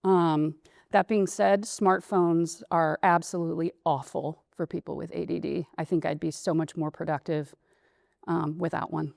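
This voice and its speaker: A woman in her forties with a gravelly, fairly low voice, speaking candidly and at a meandering pace as a panel guest. The sound is dry and close. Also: steady tone, normal speed, a little mellow, dry recording. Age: 40s.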